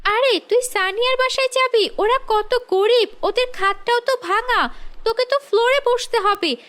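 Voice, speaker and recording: A woman's voice: very high voice, moderate pace, a bit bright. A woman voicing a spoiled, jealous rich schoolgirl in a children's cartoon, bratty and demanding. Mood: scornful.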